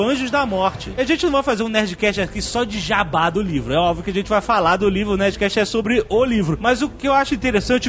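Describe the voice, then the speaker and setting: A man's voice: sits high, a bit bright, slight background noise. A man hosting a podcast in casual, talkative conversation, speaking informally with slang. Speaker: podcast host.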